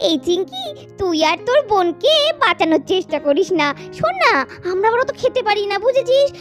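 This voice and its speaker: A woman's voice: very high-pitched, big pitch swings, a little fast, soft background music. A woman voicing a little schoolgirl doll in a children's cartoon, chatty and bickering in a childish, colloquial way. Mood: bickering, sassy. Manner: childish, colloquial scolding and teasing.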